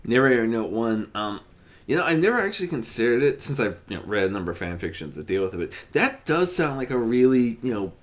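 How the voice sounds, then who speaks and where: Very animated male storybook narrator. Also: mid-range voice, fast talker. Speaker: narrator of a fan fiction audiobook.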